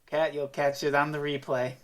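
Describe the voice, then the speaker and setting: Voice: mid-range voice, level tone, moderate pace. A wisecracking podcast co-host trading casual banter.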